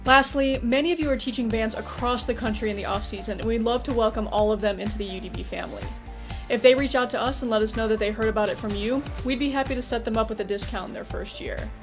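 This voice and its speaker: A woman with a fairly high voice presents a scripted welcome for a company. She talks at a brisk clip, with faint music playing behind her. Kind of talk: scripted welcome message to camera or listener.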